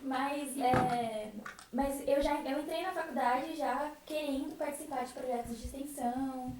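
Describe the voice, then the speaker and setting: A woman's voice: sits high, fairly even intonation, a little slow. A shy, nervous young Brazilian woman sharing her own experience casually in a group. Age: young adult. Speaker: student member of a theatre group. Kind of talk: casual personal testimony in a group. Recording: very echoey room, distant mic, faint noise.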